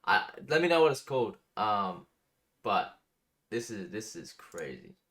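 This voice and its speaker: A man's voice: lowish voice, very animated, a little slow, a bit bright. A man hosting a music reaction video, talking casually and enthusiastically with lots of fillers. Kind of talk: casual reaction commentary over a song.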